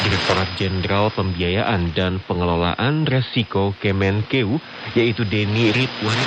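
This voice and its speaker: A man's voice: lowish voice, muffled recording, very noisy. A man reads scripted radio news as a co-host on a shortwave broadcast.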